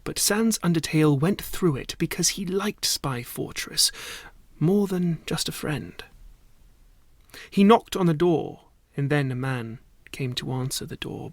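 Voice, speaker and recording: Slow, theatrical male storyteller, dry close sound. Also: on the high side, gravelly, dry recording. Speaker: voice actor reading a story. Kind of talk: dramatic narrated story reading.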